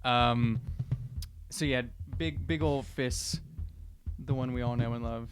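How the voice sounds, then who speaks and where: A man's voice: mid-range voice, fairly even intonation, takes it slowly, over music. A man who is a player in a tabletop game, chatting casually as the game goes on. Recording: noisy room recording with clicks.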